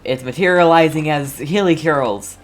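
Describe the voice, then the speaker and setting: A man's voice: fairly high pitch, normal speed. A man streaming a game, giving casual, laid-back commentary with dry remarks.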